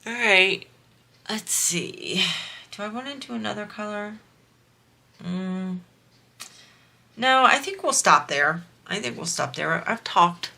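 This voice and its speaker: Slow, gravelly, nasal-voiced woman. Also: mid-range voice, expressive, sharp tone. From US East Coast. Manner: rambling, chatty, slow. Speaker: crafting vlogger. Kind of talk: casual chat while diamond painting.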